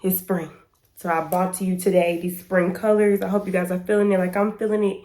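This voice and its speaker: Slightly hoarse, stuffy young American woman. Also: lowish voice, flat delivery, brisk, mellow. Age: 20s. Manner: informal, slangy, chatty and emphatic. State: congested and slightly hoarse from pollen. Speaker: YouTube storytime vlogger. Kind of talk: casual storytime chat to camera.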